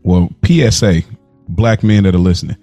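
A man's voice: deep voice, moderate pace, dark tone, dry recording, faint music behind. A man co-hosting a podcast, speaking earnestly in long run-on stretches and repeating himself as he builds a point. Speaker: podcast co-host. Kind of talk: casual chat.

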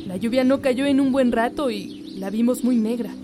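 Woman doing a scared village lady, highish voice, noisy room. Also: normal speed, natural voice. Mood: earnest. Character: earnest villager woman, fearful. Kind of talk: radio drama acting.

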